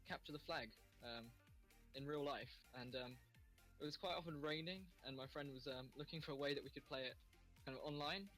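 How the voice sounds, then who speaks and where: A young man from the United Kingdom, a guest developer, talking in a relaxed, hesitant way with frequent ums. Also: fairly high pitch, a little fast, faint music behind. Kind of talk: casual interview chat.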